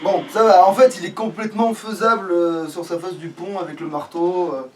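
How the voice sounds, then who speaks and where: A man with a high-pitched voice talks casually through his video game play. His speech is slangy and peppered with swearing. He sits away from the mic, with clear noise in the recording. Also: fairly even intonation, normal speed, darkish tone. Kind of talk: casual let's play chat while playing. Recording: distant mic, noisy background.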